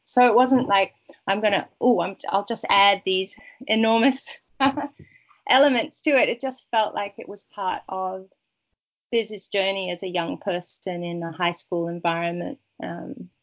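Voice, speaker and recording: A woman author from Peru, a Spanish native speaker, talking in a rambling, warm way with many restarts in a casual interview. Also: medium pitch, fairly even intonation, unhurried, dull audio. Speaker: author guest.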